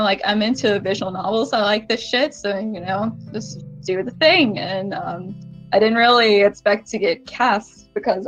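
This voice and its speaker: A woman guest chatting casually in a rambling way, often saying "like", on a dull mic with music behind her. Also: medium pitch. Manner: rambling, frequent "like". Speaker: guest voice actor. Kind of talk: casual chat.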